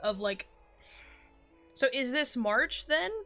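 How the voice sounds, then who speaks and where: A woman's voice: high voice, expressive, slow, pushed and bright, faint music behind. A young woman streaming a game, chatting casually and thinking aloud in a rambling way. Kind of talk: casual live-stream commentary.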